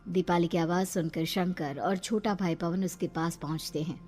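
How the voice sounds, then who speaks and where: Low-voiced woman narrating a horror story. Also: steady tone, moderate pace, darkish tone. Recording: music under the voice.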